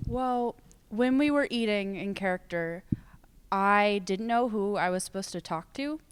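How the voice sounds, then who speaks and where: A woman's voice: mid-range voice, a little slow, clear, resonant tone. A teenage girl, a student actor, telling casual anecdotes in an interview. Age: teens.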